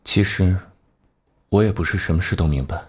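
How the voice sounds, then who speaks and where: An actor playing a cold, clever male investigator in an animated crime drama, reasoning in a measured, analytical way. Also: sits low, husky. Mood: reflective. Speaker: detective section chief.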